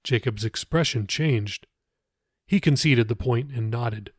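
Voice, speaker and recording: A man's voice: medium pitch, a little slow, husky, dry recording, studio quality. A man reading his own novel aloud as scripted audiobook narration.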